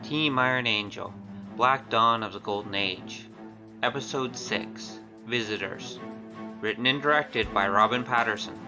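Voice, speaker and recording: A man with a mid-pitched voice reads scripted credits as the announcer of an audio drama. Loud music plays underneath him throughout. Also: a little slow, rough recording.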